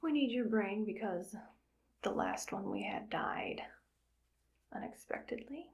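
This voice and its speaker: A woman voicing a cold, calm evil scientist who harvests brains, whispering softly in a clinical, matter-of-fact way for an ASMR roleplay. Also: mid-range voice, unhurried, vocal fry, slight background noise. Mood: coolly clinical. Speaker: ASMR creator.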